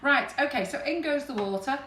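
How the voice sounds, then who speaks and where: A woman's voice: high voice, lively intonation, a little fast, sharp tone, far from the mic. A woman hosting a live baking demonstration, chatty and rambling with frequent jokes. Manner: chatty, rambling, jokey, laughs often. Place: kitchen. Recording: echoey kitchen, voice from off the mic, background noise.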